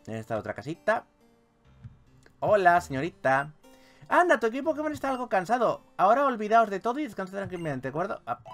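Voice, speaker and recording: A man with a Spain accent giving playful, exclamatory gameplay commentary as a video host. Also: medium pitch. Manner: animated, exclamatory, jokey. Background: video game music.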